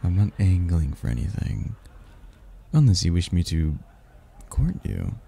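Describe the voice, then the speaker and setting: A man performs a mysterious, flirtatious masked stranger courting a royal in an ASMR romance. His voice is very low and unhurried, with lively swings of pitch. He sounds playful and courtly throughout. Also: vocal fry, breathy edge, full character voice.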